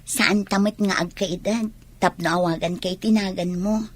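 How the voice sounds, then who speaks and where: Woman playing Bianca, a coy, breathy young student. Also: medium pitch, fairly even intonation, normal speed, lightly acted. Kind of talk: comedy radio drama acting.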